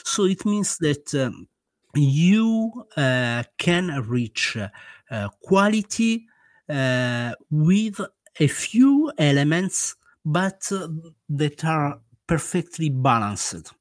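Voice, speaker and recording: A man's voice: fairly high pitch, very animated, extremely slow, dry recording, clean studio sound. Hesitant Italian-accented man. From Italy. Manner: hesitant, with many "uh" fillers and restarts. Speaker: guest author. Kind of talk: casual interview.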